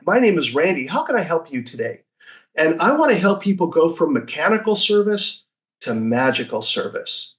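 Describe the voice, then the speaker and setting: A man speaking as an interview guest in an enthusiastic, conversational storytelling way, now and then acting out short quotes. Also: fairly high pitch.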